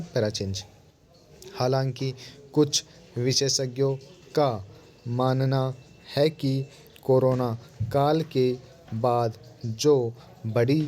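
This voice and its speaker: A man dictates formal editorial prose at a slow, measured pace. His voice is mellow and soft-edged, and he breaks sentences into short, deliberate groups with spoken punctuation. There is noticeable background noise behind him. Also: medium pitch. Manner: measured, deliberate, word groups separated by pauses. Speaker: dictation reader.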